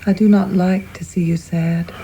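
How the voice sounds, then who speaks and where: A woman playing a genteel, doting older mother in a radio play, formal and measured. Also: on the low side, level tone, dark tone, slight background noise, close to an everyday voice, low-quality recording. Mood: genteel, concerned. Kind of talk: dramatic radio play acting.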